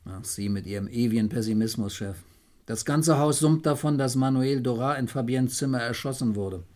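A man acting a confident police detective in a radio crime play, with a mid-pitched voice. He reports to his chief matter-of-factly and is keen to argue his theory. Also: moderate pace, a little mellow, close to an everyday voice. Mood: eager, matter-of-fact. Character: eager, confident male police detective, subordinate. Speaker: actor.

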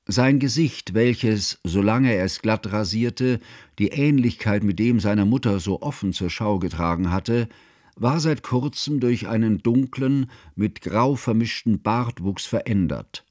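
An actor playing a grave, scholarly older man who narrates his composer friend's life in a radio play, solemn and measured in ornate literary phrases. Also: lowish voice, a little mellow, no echo, clean studio sound. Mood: solemn, precise.

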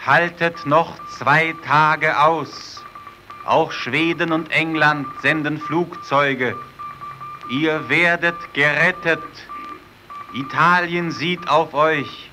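Slow, solemn old-time radio announcer. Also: male voice, on the high side, fairly even intonation, over music, poor audio. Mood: solemn, stirring. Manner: formal, measured, proclaiming. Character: solemn Italian radio announcer addressing stranded explorers. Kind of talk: solemn 1920s broadcast announcement in radio play.

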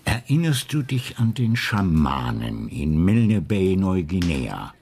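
An actor in a radio crime drama playing a wry detective husband who muses in a deliberate, playful manner. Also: male voice, deep voice, big pitch swings, a little mellow, husky, full character voice. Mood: musing, earnest.

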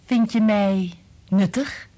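A woman's voice: medium pitch, takes it slowly, mellow, natural voice. An actress playing a serious, protective wife and mother in a radio play, reproachful and resolute. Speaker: radio drama actor. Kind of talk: Dutch radio play acting.

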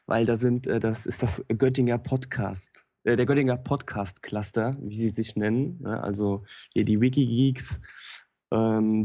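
A man, the show's technician, chatting casually with joking, quick asides. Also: fairly low pitch, warm tone. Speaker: technician co-guest. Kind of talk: casual chat.

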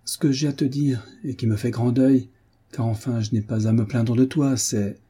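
A man's voice: mid-range voice, fairly even intonation, normal speed, warm tone, breathy edge. A man voicing a pious, mournful old peasant grandmother in an audiobook, hesitant and plaintive. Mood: sorrowful, hesitant. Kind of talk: audiobook character voice.

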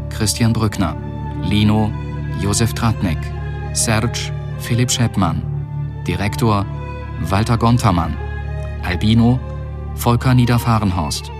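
A man reading formal radio drama credits as announcer, measured and matter-of-fact. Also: on the low side. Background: music.

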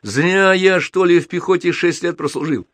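A man voicing a hot-headed, boastful army technician captain, blustering and shouting in an audiobook. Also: high-pitched, normal speed, dry recording, voice pushed up. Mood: boastful, urgent.

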